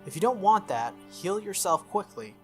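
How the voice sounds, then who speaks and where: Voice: medium pitch, sing-song, moderate pace, soft background music, voice pushed up, natural voice. Earnest, exasperated young man read by a streamer. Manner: blunt, scolding. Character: earnest, protective young male master, exasperated and stubborn.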